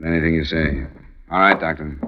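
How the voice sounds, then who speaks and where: A deep-voiced American investigator, tough and level-headed. He talks plainly and businesslike, in vintage radio drama style, on a dull old recording. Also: steady tone, fairly quick, a little hiss or hum. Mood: businesslike, steady. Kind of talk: 1950s radio detective acting.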